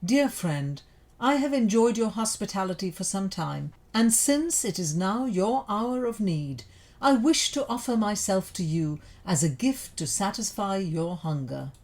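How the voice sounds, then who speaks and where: A woman voicing a humble, trusting camel guest with a formal, courteous, deliberate manner in a storybook. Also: mid-range voice, animated, darkish tone. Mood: earnest, humble.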